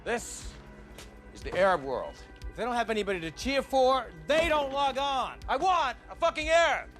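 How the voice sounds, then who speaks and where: A man's voice: very high voice, sing-song, normal speed, fairly bright tone, heavily acted. A man acting a ruthless, profane television producer villain in film dialogue. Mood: agitated, demanding. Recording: film clip with music underneath.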